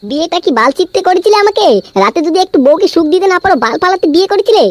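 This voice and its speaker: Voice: very high-pitched, animated, rapid-fire, dry recording. A performer voicing a frustrated, foul-mouthed young wife in a comedy cartoon, nagging and complaining in a female voice. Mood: angry, scolding. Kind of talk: amateur comedy cartoon voice acting.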